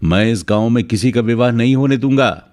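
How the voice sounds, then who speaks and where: A man's voice: mid-range voice, fairly quick, darkish tone, natural voice. A man playing a bitter, menacing cursed werewolf man in a cartoon fairy tale, with a threatening, dramatic delivery.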